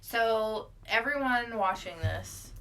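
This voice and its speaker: A woman's voice: a little high, takes it slowly, background noise. A woman co-hosting a podcast in casual, bantering chat, with a teasing, exasperated manner. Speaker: podcast co-host.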